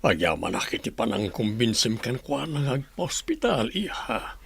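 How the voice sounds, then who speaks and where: Casting: elderly Ilocano grandpa boss, raspy and husky, lively swings in pitch, radio drama. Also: male voice, moderate pace, heavily acted. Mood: concerned. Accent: Filipino (Ilocano). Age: older. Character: gruff, irritable but caring old grandfather, a boss.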